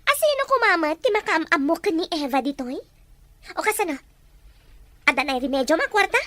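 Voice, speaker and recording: A woman's voice: very high voice, very animated, normal speed, a bit bright, no room sound, full character voice. A woman acting an agitated, scheming woman in an Ilocano-accented radio melodrama. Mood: indignant.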